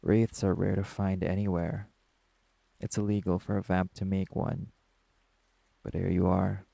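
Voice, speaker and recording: A man's voice: little pitch movement, a little slow, mellow, no echo, full character voice. A man playing a brash, guarded young werewolf musician in an audio drama, with curt, sarcastic, dismissive delivery. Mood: guarded, sardonic. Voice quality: gravelly, low. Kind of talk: dramatic audio roleplay acting.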